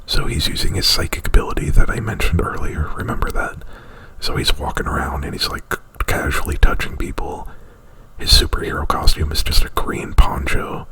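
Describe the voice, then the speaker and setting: A man's voice: sits low, wildly swooping pitch, normal speed, pushed and bright, poor audio. A man doing casual ASMR, whispering breathily right up at the microphone in a rambling, informal retelling. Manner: rambling, informal, with frequent "like" and profanity. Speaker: ASMR performer. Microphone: very close to the microphone.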